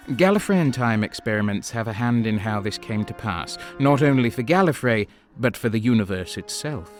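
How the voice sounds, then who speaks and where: A man's voice: mid-range voice, lively intonation, normal speed, soft background music, natural voice. A man playing a solemn, all-knowing transcendent being, narrating an audio drama in a grave, portentous, scornful way. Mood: solemn, disdainful.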